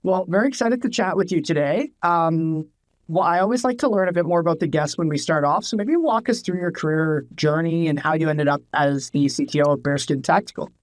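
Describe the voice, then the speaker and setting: A man's voice: fairly high pitch, a little fast, dry recording, poor audio. Upbeat young male podcast host. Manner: upbeat, friendly prompting questions. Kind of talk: interview hosting.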